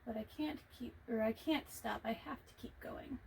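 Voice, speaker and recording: A woman vlogger talking casually to herself as she paints, reflective, halting and encouraging, with a naturally quiet voice that she tries to project. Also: a little high, gravelly, slight background noise. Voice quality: normally quiet voice, making an effort to project. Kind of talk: casual self-talk while painting. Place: hot room indoors.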